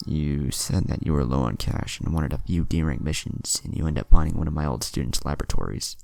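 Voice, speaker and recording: A man's voice: extremely low pitch, brisk, vocal fry, a little mellow, voice pushed down, heavily acted. A man voicing a weary old male village leader, stern but kindly, who sighs and grumbles, in a storybook reading.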